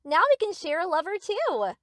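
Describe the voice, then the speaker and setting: A woman's voice: very high voice, wildly swooping pitch, normal speed, fairly bright tone, no echo, studio quality, exaggerated voice. A woman playing a sweet, eager, obsessive young yandere best friend in an anime-style audio roleplay, gushing and theatrical.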